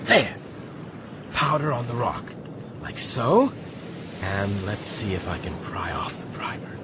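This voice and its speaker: A man acting an excitable, determined castaway in a period audio drama, with emphatic, old-fashioned formal diction. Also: lowish voice, slow pace. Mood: eager, brisk. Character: excitable, determined male castaway, courteous but self-reproaching. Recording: clear noise behind the voice, outdoor ambience.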